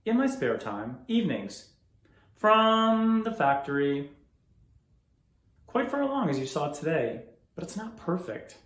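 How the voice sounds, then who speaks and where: A man's voice: on the high side, sing-song, unhurried, long pauses, fairly bright tone, voice pushed up. A man playing a proud, driven car engineer, earnest and emphatic in a theatrical monologue. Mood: proud, urging. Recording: echoey room.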